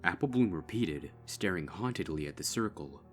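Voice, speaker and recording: A man giving grave, measured narration of a dark fan story. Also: low-pitched, animated, soft background music. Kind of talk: dark fanfic audiobook narration.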